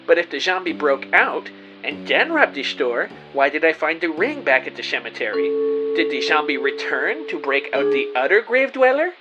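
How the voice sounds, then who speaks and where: A man playing a self-important, excitable private investigator, narrating his case theatrically in an audio drama. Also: a little high, moderate pace, highs cut off, over loud music. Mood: businesslike. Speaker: narrator and lead actor. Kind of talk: first-person detective audio drama narration.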